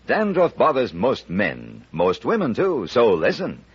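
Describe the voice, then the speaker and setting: A man's voice: mid-range voice, huge pitch swings, measured pace, slightly rough. An American man reading a vintage radio commercial as announcer, emphatic and instructional. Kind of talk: vintage radio advertising pitch. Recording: dull, band-limited old radio recording.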